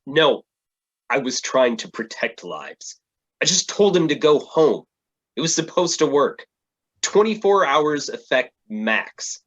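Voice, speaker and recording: A man acting a husky, flustered superhero. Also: a little high, big pitch swings, slow, fairly bright tone, lo-fi sound, full character voice. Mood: defensive. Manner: earnest, self-justifying. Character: defensive male tech-suited superhero, earnest and flustered. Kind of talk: staged reading of a play.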